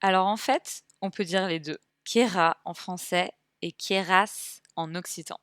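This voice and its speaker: A woman's voice: lowish voice, a bit bright, smooth, no room sound, studio quality. Young woman, friendly narrating voice. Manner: measured, friendly storytelling. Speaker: vlogger narrating a hiking trip. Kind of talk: scripted voice-over narration. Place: voice-over, not recorded on the trail.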